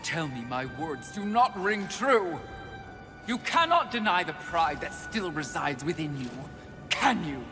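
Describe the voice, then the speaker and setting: A man voicing a proud, manipulative male demon of sin who speaks in a slow, persuasive, taunting way, over game music. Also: on the high side, big pitch swings, long reverb tail. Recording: loud game music behind voice.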